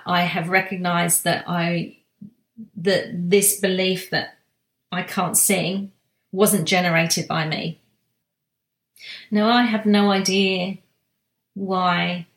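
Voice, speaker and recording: Soft slow woman talking about spiritual stuff, low flat voice, roomy echo. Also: creaky. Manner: slow, soft-spoken, with pauses and restarts. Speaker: spiritual guide sharing monthly energy reading. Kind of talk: reflective spiritual monologue.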